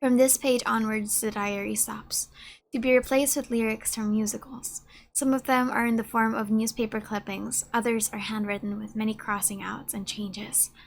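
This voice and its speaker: A woman streamer softly and dramatically reading game text aloud during a playthrough, with some hesitation. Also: fairly high pitch, fairly even intonation, normal speed, creaky.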